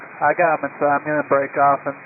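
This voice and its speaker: A man's voice: a little high, monotone, fast talker. A man making pilot position calls over an aviation radio. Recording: tinny, noisy cockpit radio transmission.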